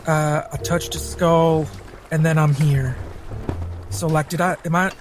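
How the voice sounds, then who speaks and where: A man's voice: a little high, steady tone, measured pace, faint music behind, natural voice. A man playing a confused, laid-back adventurer in improvised tabletop roleplay, speaking in hesitant, casual bursts.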